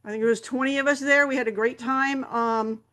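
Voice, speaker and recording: A woman's voice: high voice, steady tone, fairly quick, a bit bright. A woman hosting a camera club meeting, giving announcements in a rambling way with many fillers and restarts.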